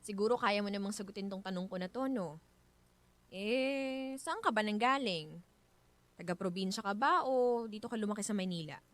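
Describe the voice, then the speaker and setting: A woman's voice: mid-range voice, moderate pace, lightly acted. A woman acting as a curious, teasing married woman with a Filipino accent in a radio drama. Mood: prodding. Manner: teasing, inquisitive, chatty. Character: curious, playful, prying married woman hosting a guest.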